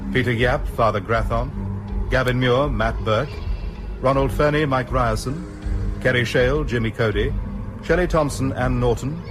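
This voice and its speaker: Over clearly audible music, a man reads scripted credits. He is a radio drama announcer with a middle-pitched voice and a formal broadcast delivery. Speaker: continuity announcer.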